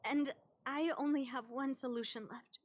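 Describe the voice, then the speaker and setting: A woman's voice: high voice, fairly even intonation, moderate pace. A woman voicing an ailing, earnest young woman in a video game, desperate to find her sweetheart. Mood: regretful.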